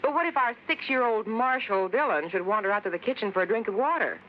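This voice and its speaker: Scolding 1950s radio housewife, animated actress. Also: female voice, mid-range voice, quick pace, natural voice. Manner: scolding, instructive, emphatic. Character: exasperated, fussing wife and mother of young children. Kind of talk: 1950s radio safety sketch acting. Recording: dull, faintly noisy 1950s radio recording.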